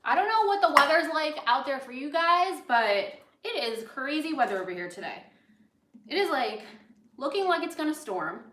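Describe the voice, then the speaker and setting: A woman's voice: high voice, sing-song, normal speed, fairly bright tone, a little hiss or hum. An American woman chatting casually on a cooking livestream, rambling and drifting into asides as she works. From United States, grew up in Los Angeles. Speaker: host of a cooking livestream, chef. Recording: roomy, echoey indoor sound.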